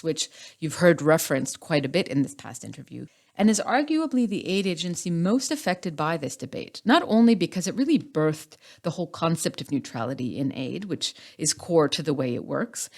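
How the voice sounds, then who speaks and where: Podcast host lady, low voice, measured and articulate. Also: darkish tone. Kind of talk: podcast interview hosting.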